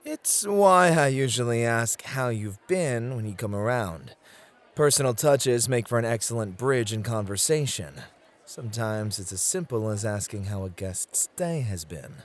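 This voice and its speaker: A man playing a flirty, gentlemanly club host who teases and gently coaches in an ASMR roleplay, with courteous, theatrical phrasing. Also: mid-range voice, animated, unhurried, natural voice. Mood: flirty, guiding.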